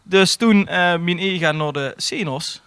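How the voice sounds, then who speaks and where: A man with a slightly high voice chats casually as a podcast host. He tells his story haltingly and colloquially, recorded close and dry. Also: fairly bright tone, clean tone, no room sound. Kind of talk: casual anecdote in podcast chat.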